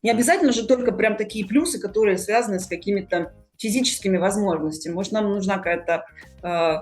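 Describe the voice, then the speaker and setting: A woman hosts a podcast in a conversational style. Her voice sits at an ordinary middle pitch, with soft music playing faintly behind it. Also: quick pace. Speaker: podcast host.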